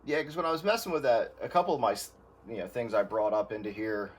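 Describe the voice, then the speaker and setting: A man's voice: on the low side, fast. A man talking casually as a radio operator, heard over a radio link. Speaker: radio operator with studio audio background. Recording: faint noise on radio link.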